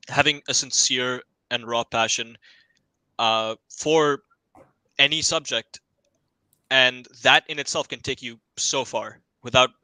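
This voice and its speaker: A man's voice: medium pitch, bright, forceful tone, no echo, poor audio. A young man giving casual, reflective answers as a panel guest, thinking aloud and often checking with tag questions. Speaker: self-learner guest on an education panel.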